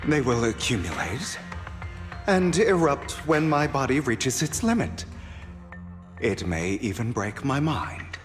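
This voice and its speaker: Game role: composed male schemer, mid-pitched, unhurried, dramatic delivery, reverberant sound. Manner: deliberate, measured, dramatic. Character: proud, composed, theatrical gentleman schemer. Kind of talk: dramatic video game voice acting.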